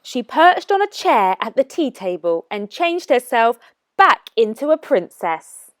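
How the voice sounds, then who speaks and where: A woman reading aloud as a children's storytime narrator, with expressive, careful and deliberate phrasing. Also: fairly high pitch, no room sound, studio quality. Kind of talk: scripted storybook read-aloud for young children.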